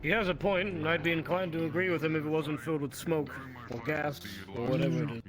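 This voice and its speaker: A man plays a mild-mannered companion in a fantasy roleplay game. He sounds dry and politely exasperated, with a somewhat high male voice over clearly audible music. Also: quick pace, lightly acted. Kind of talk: improvised online fantasy roleplay. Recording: game chat with music behind.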